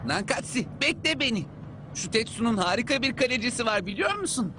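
A woman voicing an eager, excitable, football-mad young schoolboy in a cartoon. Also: a little high, a little fast, noisy background, lightly acted. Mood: excited, determined.

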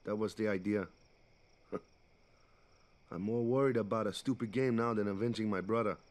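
An actor playing an easygoing, big-brotherly young island ballplayer in a video game, talking in a casual, folksy way. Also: male voice, lowish voice, normal speed, darkish tone, lightly acted. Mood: subdued, confiding. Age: young adult. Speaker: guardian and older friend. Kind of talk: video game cutscene acting.

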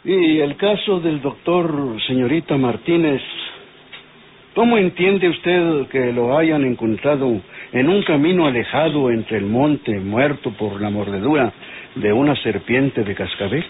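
An actor playing a polite, methodical police inspector in an old radio drama, on a tinny, noisy recording. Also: male voice, mid-range voice, unhurried, lightly acted. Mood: probing, courteous. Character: courteous, probing male police inspector. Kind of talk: old Spanish-language radionovela acting.

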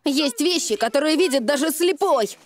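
A woman voicing an excitable, earnest little boy in a cartoon dub. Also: high voice, moderate pace. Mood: earnest, insistent.

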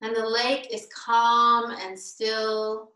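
A woman leading a guided meditation as a teacher, speaking deliberately in short, spaced-out phrases over a video call. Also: a little high, completely flat delivery, sharp tone. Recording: roomy, distant video-call microphone.

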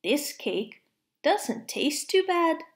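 Lady doing a little monkey kid from a picture book, high voice, excitable, fretting and shushing. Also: very slow, full character voice. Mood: hopeful. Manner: urgent, childlike exclamations and shushing.

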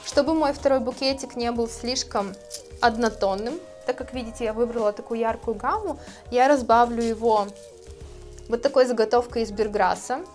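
Gentle woman florist explaining a craft. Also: a little high, moderate pace, soft background music. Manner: gentle, explanatory, addresses viewers as friends. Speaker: florist teaching a course. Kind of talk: calm tutorial narration. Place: indoor studio with plants. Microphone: lapel mic.

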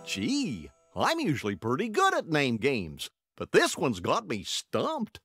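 A man's voice: on the high side, wildly swooping pitch, music in the background, exaggerated voice. A man voicing a dim, good-natured cartoon dog in a game, with a folksy, bumbling manner. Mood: puzzled. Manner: folksy, slow-witted, bumbling.

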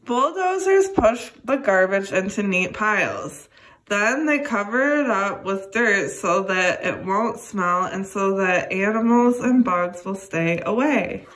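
Slow-paced young woman reading to children. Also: mid-range voice, expressive, a bit bright, rough recording. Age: young adult. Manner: deliberate, clearly addressing young children. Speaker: children's educator reading a book aloud. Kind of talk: read-aloud children's lesson.

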